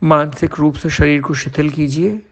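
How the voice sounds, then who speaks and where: A man leading a guided yoga nidra meditation as an instructor, giving measured instructions in short phrases with pauses. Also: on the high side, fairly even intonation, lo-fi sound.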